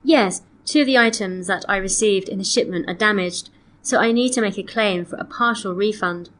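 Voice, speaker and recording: A woman playing a polite customer on a complaint call, reading scripted dialogue in a measured, clearly enunciated way. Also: medium pitch, fairly bright tone, close to an everyday voice. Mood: polite, matter-of-fact. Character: polite woman customer phoning to report missing, damaged antiques. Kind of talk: scripted listening-test dialogue.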